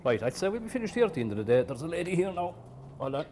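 A man's voice: fairly high pitch, very animated, very fast, darkish tone, a little hiss or hum. A man playing a patronizing boss. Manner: patronizing, rambling, folksy. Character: crude small-town Irish boss interviewing, patronizing. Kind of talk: comedy sketch acting.

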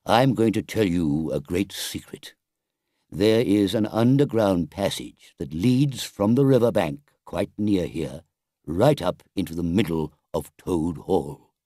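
A stern, wise old storybook badger, acted by a man, with a low and mellow voice. He speaks gravely and deliberately, with the authority of an elder. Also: expressive, no echo, studio quality. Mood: reassuring.

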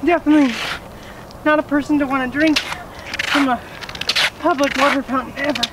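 A woman's voice: high-pitched, steady tone, normal speed. A young woman talking casually and encouragingly while walking on crutches through an outdoor park, a little out of breath. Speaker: fitness vlogger. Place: outdoor park sidewalk, windy. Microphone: camera filming from a distance. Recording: distant camera mic, loud wind noise.

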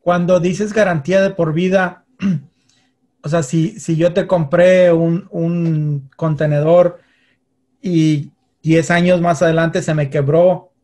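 A man's voice: fairly high pitch, steady tone, takes it slowly, darkish tone. A man in his thirties hosting a business podcast, asking a guest questions over a video call, with hesitant restarts. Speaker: podcast host. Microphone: video call webcam.

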